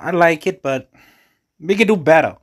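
A man's voice: on the high side, animated, moderate pace. A man from the Bahamas with a Bahamian accent, giving casual commentary as he hosts a gaming video.

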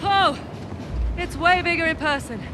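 A woman's voice: very high voice, a little slow, over music. An actress playing a sardonic, gutsy female treasure hunter in a cinematic action game. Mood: wry, straining. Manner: sardonic, quipping. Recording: loud score and gunfire behind.

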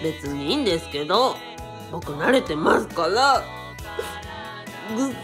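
Woman acting a young male guru, timid, stammering, blustery, animated pitch, comic radio drama. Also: a little slow, over music. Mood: sulky, hurt. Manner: stammering, whiny, swinging into blustering rants. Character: timid, self-pitying young male cult guru, easily riled.

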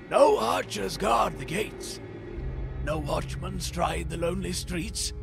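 An actor playing a frail, cursed philosopher in a fantasy audio drama, solemn and theatrical, with a gravelly, husky voice. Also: male voice, sits high, a bit bright, exaggerated voice. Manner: theatrical, portentous, archaic phrasing.

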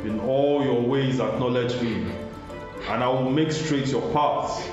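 A man as God, slow and booming with echo. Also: steady tone, close to an everyday voice. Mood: solemn, reassuring. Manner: slow, solemn, deliberate, with rhetorical questions. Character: voice of God, patient, majestic, loving father. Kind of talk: radio drama acting, solemn scripture-like speech. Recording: heavily echoing, muffled, music underneath.

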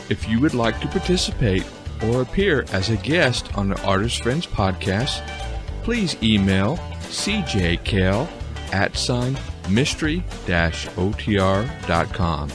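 A man reads scripted podcast credits slowly over clear guitar music. His voice sits fairly low and swings widely in pitch. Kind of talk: scripted outro announcement.

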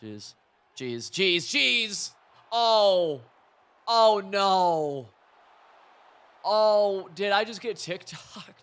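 A hoarse young man giving rambling, excitable live commentary over a football video game, mixing coaching tips with side stories. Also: sits high, drawn-out words, pauses often. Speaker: gaming video creator.

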